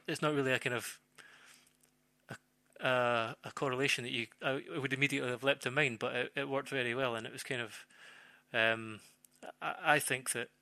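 A man's voice: mid-range voice, sharp tone, dry recording. A man in a casual, reflective panel discussion, repeating words as he searches for his phrasing. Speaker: podcast panellist.

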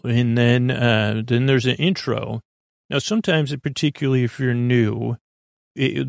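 A man hosting a bedtime podcast in an unscripted, meandering monologue, halting and restarting often in a reassuring, low-pressure way. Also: gravelly, no room sound, clean studio sound. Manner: meandering, halting, trailing off with repeated false starts. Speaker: podcast host.

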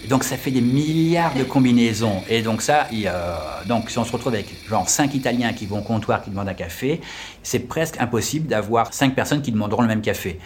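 A French man in his fifties, a tour guide answering interview questions casually, pausing often with fillers and restarting his phrases. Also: mid-range voice, slight background noise. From France. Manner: hesitant, with many fillers and restarts.

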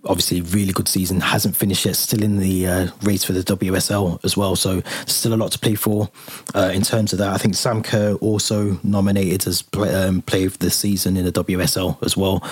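A man's voice: sits low, husky, no room sound. A man hosting a casual football podcast, talking easily with a lot of ums and reading out plugs for the channel. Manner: relaxed, lots of fillers and restarts, reads out channel plugs. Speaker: podcast host.

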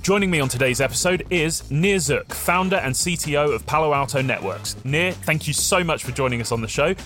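A man's voice: fairly high pitch, fairly bright tone, faint music behind. A man hosting a tech podcast, moving from a scripted introduction to casual, friendly chat with his guests. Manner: brisk, friendly, presenter-like. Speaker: podcast host.

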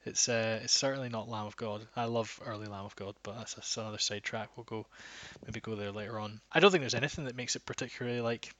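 A man's voice: fairly low pitch, fairly even intonation, fast, hardly any pauses, fairly bright tone, no echo. A man, a metal band guitarist, chatting casually as a podcast guest, rambling with frequent restarts and fillers. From Scotland.

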